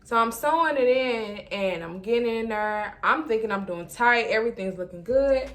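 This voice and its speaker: A young woman with a fairly high voice chats casually to her viewers in storytime fashion. She is animated, slipping into quoted reactions and thoughts as she talks. Light music plays behind her. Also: normal speed. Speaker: vlogger.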